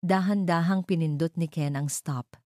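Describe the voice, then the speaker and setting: A woman narrates a scripted drama as storyteller. Her voice is low and mellow, recorded dry and close, with a dramatic delivery. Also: moderate pace, no echo.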